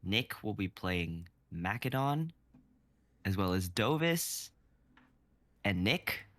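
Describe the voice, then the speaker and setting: Young guy on a stream, talks real slow, lowish voice. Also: sing-song, fairly bright tone, no echo. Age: 20s. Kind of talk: casual livestream chat.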